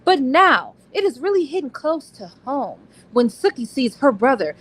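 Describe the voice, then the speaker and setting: A woman's voice: high voice, very animated, moderate pace, a bit bright. A woman co-hosting a casual book discussion in a playful, slangy, joking way. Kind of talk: casual book club chat, some synopsis reading.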